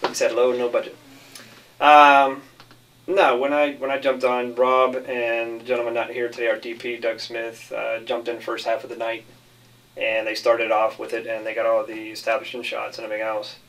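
A man speaks as a film director in a casual interview. His pitch stays quite level and unshowy. He sounds a little way off from the microphone. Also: completely flat delivery, normal speed, fairly bright tone, far from the mic, low-quality recording.

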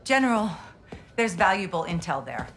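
A woman's voice: mid-range voice, very animated, normal speed, fairly bright tone, soft background music. A woman playing a middle-aged intelligence officer in a game, terse, businesslike and determined. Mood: insistent. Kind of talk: cinematic game acting.

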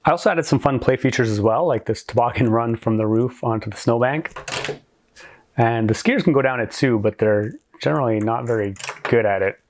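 Guy in his 40s doing an upbeat hobby explainer, medium pitch, scripted and well organised. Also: brisk, slight background noise. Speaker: host of a brick-building channel.

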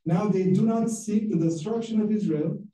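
A man from Turkey with a Turkish accent, giving an academic lecture as a guest speaker in a halting way, with many filler sounds. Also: sits high, dark tone. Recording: echoey room, mic at a distance.

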